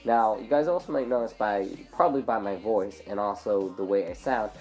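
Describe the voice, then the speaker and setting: A man's voice: fairly low pitch, a little fast, darkish tone, faint music behind. A man who is sick and losing his voice, casually rambling through an unboxing review on a clip-on mic. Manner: rambling, self-correcting. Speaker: product reviewer. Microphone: clip-on lavalier into phone.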